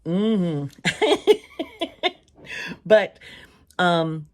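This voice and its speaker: A woman with a hoarse, worn voice chatting casually to camera as a channel host, rambling a little and laughing often between thoughts. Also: lowish voice, big pitch swings, extremely slow, darkish tone. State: hoarse, losing her voice. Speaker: tarot reader and channel host.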